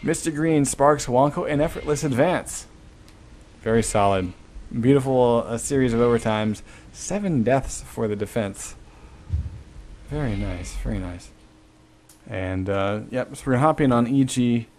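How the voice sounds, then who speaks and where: A man's voice: medium pitch, measured pace, a little mellow, a little hiss or hum. A man giving casual, joking play-by-play commentary on an online game match, swearing freely. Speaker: esports commentator.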